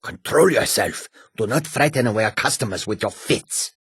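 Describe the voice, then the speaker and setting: Male cat-folk merchant, raspy, courteous, formal, fantasy game character, close dry sound. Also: fairly low pitch, lively intonation, a bit bright, no echo, exaggerated voice. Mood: scolding. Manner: measured, formal, archaic phrasing. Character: male cat-folk caravan trader, courteous, wary, homesick.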